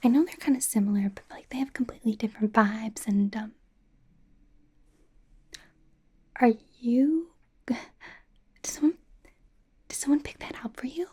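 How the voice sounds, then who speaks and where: A woman playing a bubbly young female pop idol acting as a personal stylist in a softly whispered, informal, rambling ASMR roleplay. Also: on the high side, brisk. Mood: playful, incredulous. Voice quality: soft, breathy whisper. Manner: hesitant, rambling, informal, earnest.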